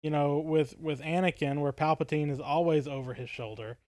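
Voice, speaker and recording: Slightly high-voiced man, dry podcast sound. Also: steady tone, moderate pace, a little mellow, no room sound. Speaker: podcast co-host. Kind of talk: casual analytical discussion.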